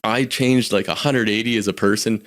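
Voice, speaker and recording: A man's voice: on the low side, fairly even intonation, moderate pace, fairly bright tone. A Canadian man in his forties, a podcast guest, talking candidly and reflectively about his life, often restarting his sentences. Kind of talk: casual personal storytelling.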